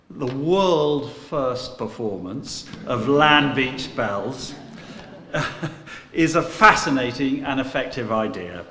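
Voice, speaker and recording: A man's voice: medium pitch, fairly bright tone. Formal man speaking in an echoey church. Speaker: guest dignitary giving a speech. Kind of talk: formal speech of thanks. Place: inside a parish church, before an audience. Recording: echoey church with long reverb.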